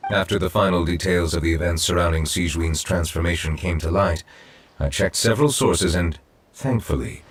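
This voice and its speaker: A man's voice: deep voice, breathy edge. An actor playing an ancient, dignified male chief judge in a video game, formal, stately and reserved. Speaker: game character voice. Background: faint background music.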